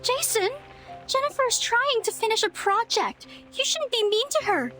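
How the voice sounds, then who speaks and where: Very high, innocent village girl. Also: animated, moderate pace, faint music behind, full character voice. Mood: gently pleading. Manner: gentle, polite, innocent. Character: sweet, naive, earnest village girl. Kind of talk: dramatic video game voice acting.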